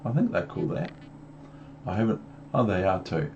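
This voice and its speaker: A man's voice: low voice, sing-song, moderate pace, a little mellow, muffled recording, a little hiss or hum. A man with a New Zealand accent reading a storybook to young children, rambling into chatty asides and questions for the listener. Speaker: storybook reader.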